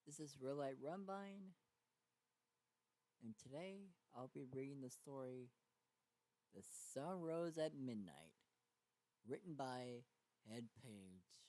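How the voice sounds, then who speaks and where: A man narrating a story dramatically, with a halting delivery that stumbles and repeats. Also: medium pitch, expressive, mellow, dry recording, rough recording. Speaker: fan fiction reader.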